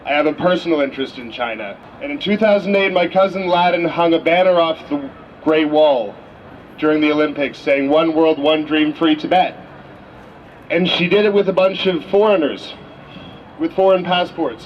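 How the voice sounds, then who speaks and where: A young man, a student speaker, giving a public speech at a rally. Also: fairly high pitch. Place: outdoor city street rally. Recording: dull outdoor recording, faint street noise.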